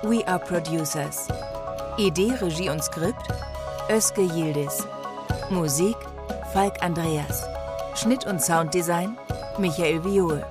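A woman's voice: on the low side, slow, pauses often, loud music behind the voice. A woman performing as the solemn narrator of a fantasy audio drama trailer, reading a script. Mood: announcing.

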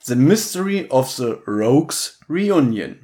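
A man's voice: mid-range voice, big pitch swings, takes it slowly, dark tone. A man chatting casually and jokingly as a podcast co-host, sometimes quoting others or going through his notes. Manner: joking, mimics others in mock quotes, reads out notes.

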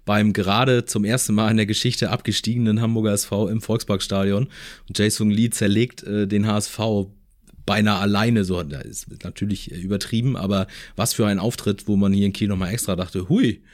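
A man chatting casually as a sports journalist, recalling anecdotes in a halting, amused and wordy way. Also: on the low side, flat delivery, no room sound, clean studio sound.

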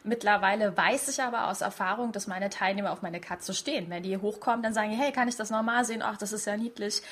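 A woman's voice: mid-range voice, quick pace, a bit bright. A woman host and coach in conversation, affirming her guest's points and building on them. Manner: affirming, picks up and builds on ideas. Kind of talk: conversational interview.

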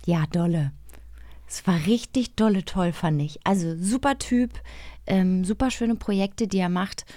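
A woman's voice: mid-range voice, measured pace, mellow, no room sound. A woman co-hosting a podcast in casual, colloquial conversation in a studio. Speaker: podcast co-host. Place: dry studio.

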